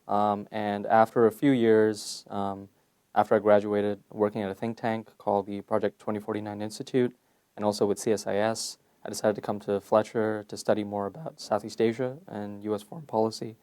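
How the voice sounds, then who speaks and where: Young man from Malaysia, low-pitched, measured academic interviewee, thoughtful with fillers. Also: flat delivery, darkish tone, clear, resonant tone. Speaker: PhD candidate, interview guest. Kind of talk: conversational interview answers. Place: conference venue.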